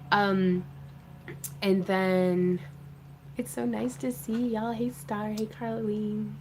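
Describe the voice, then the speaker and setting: A woman's voice: medium pitch, a little hiss or hum. A woman hosting a craft livestream, chatting casually and laughing often as she greets viewers. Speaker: craft channel host.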